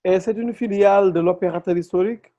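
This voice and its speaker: A man from Senegal hosting an interview, speaking formally and measured, with frequent fillers. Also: high-pitched, steady tone, warm tone, no room sound. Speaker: host.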